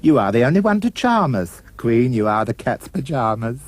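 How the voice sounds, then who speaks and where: A man's voice: medium pitch, very animated, normal speed, no room sound. A man voicing a grand, blunt magic talking mirror, declaiming in rhyme for a puppet-show fairy tale. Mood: flattering.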